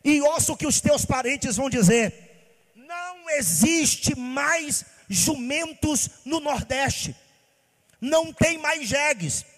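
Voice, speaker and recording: Brief: man from Bahia, pastor, very high voice, impassioned sing-song preaching, long hall reverb. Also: lively intonation, slow pace, fairly bright tone. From Bahia, Brazil. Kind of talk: sermon.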